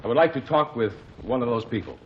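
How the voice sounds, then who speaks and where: A man playing a measured courtroom advocate. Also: mid-range voice, animated, lightly acted. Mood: formal. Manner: measured, formal, probing. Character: Jonathan Kegg, methodical courtroom advocate, adult man. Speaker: lawyer questioning witnesses. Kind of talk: 1940s radio drama acting. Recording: dull lo-fi 1949 radio recording with faint hiss.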